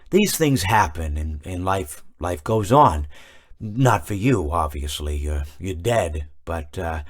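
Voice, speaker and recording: Stammering, low, philosophical robot rambler. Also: male voice, full character voice. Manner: halting, stammering, meandering. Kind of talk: rambling monologue.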